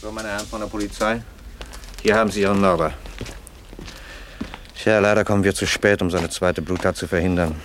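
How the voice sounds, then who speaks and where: An actor playing a smooth, calculating crime boss in a 1960s radio play, courteous and businesslike with cool menace. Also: male voice, fairly low pitch, steady tone, fairly quick, pauses often, noisy background, lightly acted. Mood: mock regretful. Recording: dry 1960s radio studio recording.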